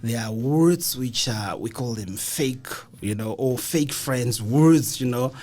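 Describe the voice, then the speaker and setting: A man speaking as an interview guest, telling his story in a halting way and often repeating words. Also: medium pitch, darkish tone. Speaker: guest, engineering academic. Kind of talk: radio interview, personal story. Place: radio studio.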